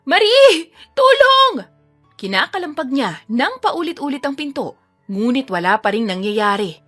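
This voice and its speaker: A woman's voice: a little high, animated, moderate pace, fairly bright tone, faint music behind. A woman reading scripted narration for a dramatic audio story.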